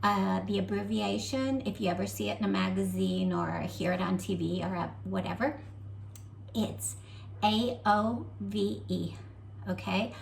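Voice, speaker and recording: A young American woman walks viewers through a home recipe in her kitchen, chatty and easygoing, tagging her sentences with "okay?". Her voice carries a gravelly fry, and faint room noise sits behind it. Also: mid-range voice, a little slow. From United States. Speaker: club activity host. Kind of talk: home cooking demonstration.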